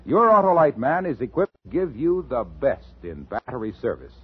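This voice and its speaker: Animated, raspy 1950s ad man, muffled. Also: medium pitch, moderate pace. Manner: emphatic, salesmanlike. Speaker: radio commercial announcer. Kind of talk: 1950s radio ad pitch. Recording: muffled, lo-fi old radio recording.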